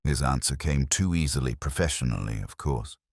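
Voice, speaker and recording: A middle-aged man reading a scripted first-person detective story in a measured, brooding manner, with deliberate pauses. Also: rumbling low, lively intonation, no echo, studio quality. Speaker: narrator.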